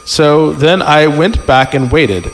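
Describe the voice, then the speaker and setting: A man's voice: fairly high pitch, slow pace. A man hosting a podcast, reading a story aloud casually, stumbling over the text and breaking off to joke and comment. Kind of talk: casual read-aloud with joking banter. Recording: long reverb, music underneath. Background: music.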